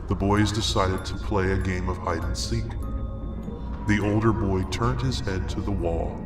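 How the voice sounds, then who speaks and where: A middle-aged man narrating a scary story in a deliberate, suspenseful, dramatic way. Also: sits low, fairly even intonation. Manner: slow, deliberate, suspenseful delivery. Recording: roomy echo, music underneath. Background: music.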